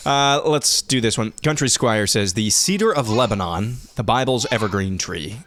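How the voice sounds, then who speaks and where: A man in his thirties with a lowish voice hosts a casual listener Q&A from home. He talks in a relaxed, conversational way, often restarting his sentences, and his voice is wearing hoarse. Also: moderate pace, slight background noise. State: losing his voice. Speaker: podcast host.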